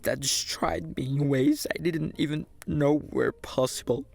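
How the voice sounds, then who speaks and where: A young Brazilian man whose first language is Portuguese, telling a funny story about himself with a Brazilian accent, self-mocking and animated, dropping to mutters and jumping to outbursts. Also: a little high, unhurried, vocal fry. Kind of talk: comedic animated storytime narration.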